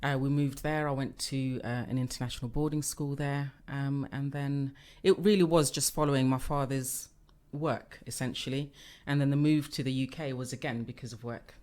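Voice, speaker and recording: A woman's voice: extremely low pitch, fairly even intonation, moderate pace, hardly any pauses, a little mellow. A woman from East Africa, raised in the UK since age eleven, chatting openly as a podcast guest with frequent fillers. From born in Uganda, raised in Kenya and Tanzania, UK since age eleven. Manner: chatty and open, laughs easily, many fillers. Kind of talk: conversational podcast interview.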